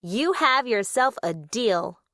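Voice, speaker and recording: Woman voicing an eager, high-pitched storybook go-getter. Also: sing-song, slow, fairly bright tone, dry recording, studio quality, heavily acted. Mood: confident, helpful. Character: Rolly, eager, helpful storybook go-getter character.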